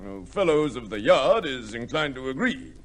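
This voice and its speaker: An actor playing a discreet major from a government office in an old radio drama, formal and official. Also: male voice, mid-range voice, big pitch swings, normal speed, slight background noise, natural voice. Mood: matter-of-fact.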